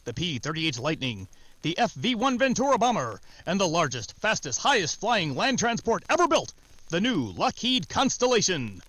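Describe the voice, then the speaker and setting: High-pitched, animated male radio announcer. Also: normal speed, no room sound, poor audio. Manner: emphatic, formal. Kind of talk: scripted 1940s radio announcing, sponsor read.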